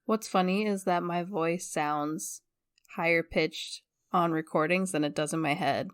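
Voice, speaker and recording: Laughing woman with a dry, lowish voice. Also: a little slow, smooth, no echo. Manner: laughs a lot, animated storytelling. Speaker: podcast guest co-host. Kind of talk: casual chat. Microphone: headphones, studio mic.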